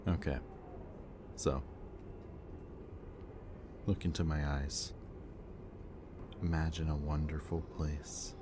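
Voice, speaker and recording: A man speaking a gentle, hesitant roleplay monologue to the listener as a soft-spoken villain. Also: extremely low pitch, level tone, takes it slowly, long pauses, noisy background.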